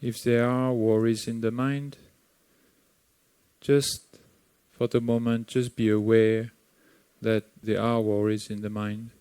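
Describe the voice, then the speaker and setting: Slow calm meditation teacher, man. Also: medium pitch, clean tone, dry recording. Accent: Sri Lankan. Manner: slow, calm, gently instructive with long pauses. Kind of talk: guided meditation.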